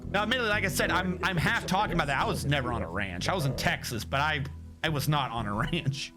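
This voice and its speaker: A young man with a high voice rambles chattily to his stream chat, stopping often to correct himself. Music plays clearly behind him as he talks. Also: lively intonation, fast talker. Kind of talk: casual livestream chat.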